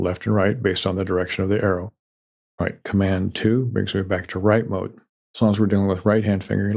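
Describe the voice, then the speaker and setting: A man giving a calm, methodical, step-by-step software tutorial over a screen recording. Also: fairly low pitch, creaky.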